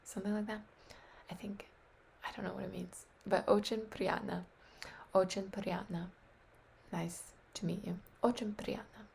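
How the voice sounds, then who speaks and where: Soft-whispering woman with a creaky voice. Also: mid-range voice, fairly even intonation, measured pace, pauses often, a little hiss or hum. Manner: soft whispering, repeats words aloud to practice; unsure, self-deprecating. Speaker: language learner practicing phrases. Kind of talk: whispery language practice.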